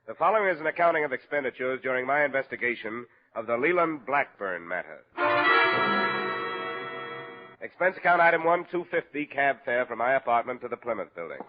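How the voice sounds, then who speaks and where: A man's voice: medium pitch, animated, fast talker, few pauses, soft background music, lightly acted. A man playing a hard-boiled insurance investigator with an American accent in a 1950s radio detective drama, clipped and matter-of-fact. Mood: brisk narrating. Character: hard-boiled male freelance insurance investigator, brisk, unsentimental. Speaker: lead actor and narrator. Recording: muffled vintage radio broadcast recording.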